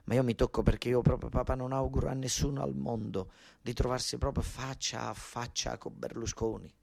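Southern italian guy doing a theatre monologue, chatty emphatic storytelling, repeats himself for effect, medium pitch, dry recording. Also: fairly even intonation, brisk, vocal fry, a little mellow, no echo. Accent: southern Italian, Basilicata. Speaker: monologue actor and narrator. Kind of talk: theatrical first-person storytelling.

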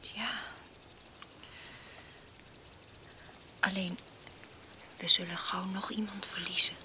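An actress in a radio drama playing a clinging, seductive lovesick woman, speaking intimately in a breathy half-whisper. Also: mid-range voice, steady tone, measured pace, sharp tone, a little hiss or hum. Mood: hushed, worried. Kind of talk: Dutch radio drama acting.

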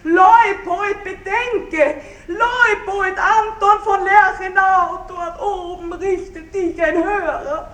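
A woman's voice: very high voice, steady tone, slow pace, a bit bright, full character voice. An actress playing a wailing, melodramatic woman who claims to be wronged, in a theatrical German radio play. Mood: tearful, mock-pathetic. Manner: melodramatic, lamenting. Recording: roomy radio drama recording.

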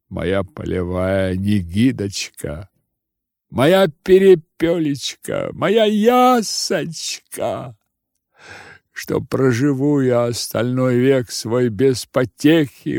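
A proud old Cossack chief, acted by a male narrator, grieving and vengeful. He speaks with solemn, commanding emphasis in a storybook reading, recorded dry and slow-paced. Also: medium pitch, gravelly, darkish tone, no echo, heavily acted. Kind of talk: audiobook character voice.

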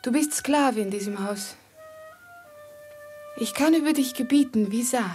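Woman acting proud noblewoman, high voice, slow pace, imperious and passionate, breathy whispers, radio play, flute music. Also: warm tone, natural voice. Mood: commanding, yearning. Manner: imperious, intimate, often dropping to a breathy whisper. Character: proud, passionate noblewoman in love with her slave.

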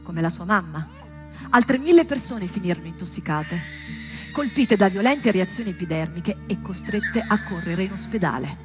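A woman delivering a theatrical monologue as a stage performer, in a dramatic, deliberate and emphatic manner. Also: mid-range voice, expressive, over music.

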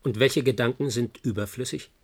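A man's voice: mid-range voice, a little fast, natural voice. An actor playing a conscientious, protective male scientist in a radio drama. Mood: indignant.

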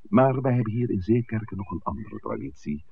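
An actor playing a dignified, evasive village priest in a 1960s Dutch radio drama, speaking formally and deliberately. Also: male voice, on the low side, very animated. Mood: grave, courteous. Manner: formal, deliberate, wordy, hesitant at times. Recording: muffled, noisy old radio recording.

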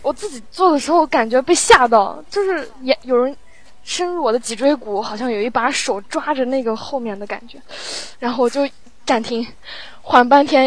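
A woman with a fairly high voice talks as a guest in a casual interview. She is a sound editor and tells things in an animated, rambling storytelling way. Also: no room sound, low-quality recording. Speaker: audio drama post-production sound editor, guest.

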